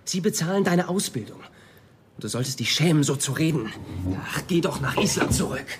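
An actor playing an idealistic, stubborn young male student in a radio play, earnest and insistent, shifting between hushed and heated. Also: on the high side, animated, a little fast, very noisy, natural voice. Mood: indignant. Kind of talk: naturalistic radio play acting.

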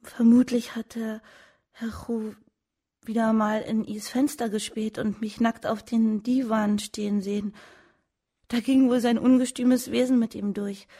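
An actress playing a young dancing girl in a radio drama, recounting events in a subdued, breathy voice. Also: a little high, level tone, measured pace, dark tone. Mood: subdued, haunted.

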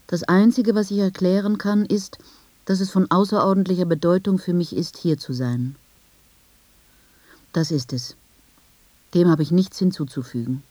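A woman reads scripted, first-person literary narration in a measured, reflective manner with deliberate phrasing. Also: fairly low pitch, dark tone, dry recording. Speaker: narrator of a literary radio piece.

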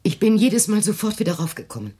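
Bitter middle-aged mom in a radio play, super husky and breathy, really swings up and down, intense. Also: female voice, mid-range voice, sing-song, quick pace, mellow. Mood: agitated, defensive. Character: bitter, defensive middle-aged mother guarding painful secrets. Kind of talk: German radio play acting.

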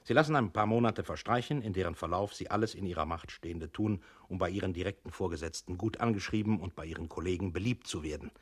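A didactic male coach in a scripted radio drama. His voice is deep and husky, and he exhorts a meek employee in deliberate, earnest phrases. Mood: recapping. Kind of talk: scripted radio play narration.

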